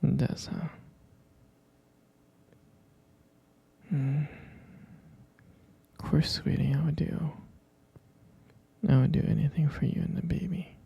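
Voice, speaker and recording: A man playing a tender, devoted boyfriend in an intimate ASMR roleplay, speaking in a breathy, husky whisper and lingering dotingly over his words. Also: on the high side, flat delivery, measured pace, long pauses, dark tone, dull audio, exaggerated voice. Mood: tender, caring.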